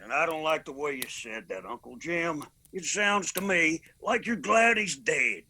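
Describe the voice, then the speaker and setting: A grim, proud mountain clan leader for a radio drama. His Appalachian drawl is slow and bright, with a gravelly creak. There is faint noise behind him. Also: on the high side, expressive, exaggerated voice. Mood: wary, reproachful.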